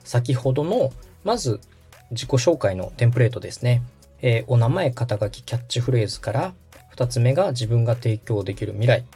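A man's voice: mid-range voice, lively intonation, fairly quick, dark tone, slightly rough, faint music behind. A man hosting a podcast, interviewing and coaching a guest in a polite, instructive conversational manner. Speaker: podcast host, radio director coaching guest.

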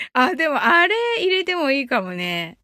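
A woman's voice: high-pitched, sharp tone, no echo, clean studio sound. A woman hosting a casual live audio stream, chatting politely with her listeners and often breaking into giggles.

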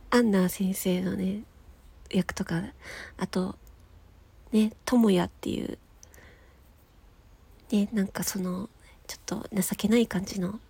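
A woman's voice: medium pitch, creaky, mellow, husky. A woman podcast host chatting casually and enthusiastically, with emphatic, giggly delivery. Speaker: podcast host and amateur voice actress.

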